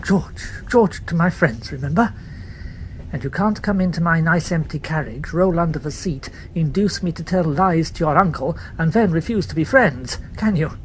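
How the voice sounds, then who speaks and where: A woman voicing a chatty, gallant young English gentleman who talks in a breezy, teasing way. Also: sits low, normal speed, slight background noise, natural voice, low-quality recording. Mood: playful.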